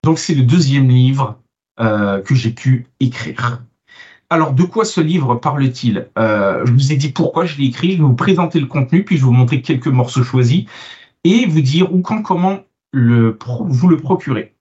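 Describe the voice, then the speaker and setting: A man born in France and now living in Canada, a software architect being interviewed about his book, talking casually with many fillers and restarts. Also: mid-range voice, animated, moderate pace. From Italian, born in France, living in Canada. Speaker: guest author, software architect. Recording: dull lo-fi sound.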